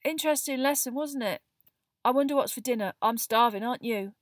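A woman voicing a nervous teenage schoolboy in a storybook, gabbling in an unnaturally high voice. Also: brisk, no room sound, clean studio sound, close to an everyday voice. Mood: nervous, flustered. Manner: gabbling, flustered.

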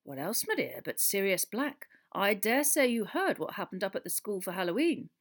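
Woman as a pompous, gruff older government minister. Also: medium pitch, sing-song, moderate pace. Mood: uneasy, defensive. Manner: pompous, confiding.

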